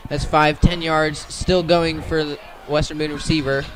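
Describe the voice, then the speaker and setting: A man's voice: fairly high pitch, level tone, measured pace, background noise. A man doing live radio play-by-play of a football game outdoors, in short clipped phrases. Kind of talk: live radio sports commentary.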